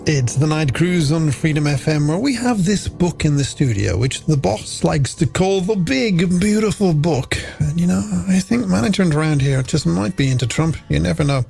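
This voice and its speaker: A man's voice: fairly high pitch, expressive, moderate pace, gravelly, hardly any pauses, a little mellow, husky. A man presenting a late-night music radio show in chatty, playful, scripted patter.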